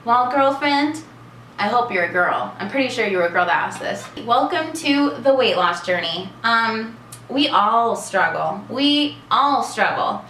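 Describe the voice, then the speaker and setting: Casting: chatty woman in her twenties, vlogger, bright voice, echoey room, faint hiss. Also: a little high, expressive, measured pace. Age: 20s. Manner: chatty and bubbly, many fillers. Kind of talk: casual Q&A vlog.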